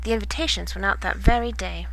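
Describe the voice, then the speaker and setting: A woman reading a children's bedtime story in expressive storybook narration. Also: mid-range voice, fast talker, creaky, low-quality recording.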